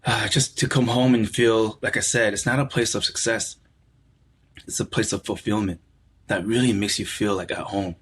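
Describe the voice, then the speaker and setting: A man's voice: fairly low pitch, steady tone, normal speed, a little hiss or hum. Reflective california guy on a podcast, middle-aged, repeats himself looking for words, easygoing chat. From California, USA. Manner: reflective, warm, repeats words when searching for them. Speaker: podcast guest. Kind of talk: casual conversational interview.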